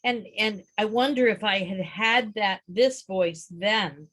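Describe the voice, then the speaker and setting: Gravelly woman, thoughtful chat. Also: medium pitch, slow, a bit bright. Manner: thoughtful, searching for words. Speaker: guest, former voiceover actress. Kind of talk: casual book and film chat.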